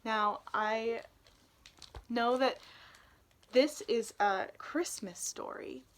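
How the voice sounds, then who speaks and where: A young adult woman reading a picture book aloud expressively, in an animated way, for young listeners. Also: a little high, very slow. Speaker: read-aloud storyteller, youth intern.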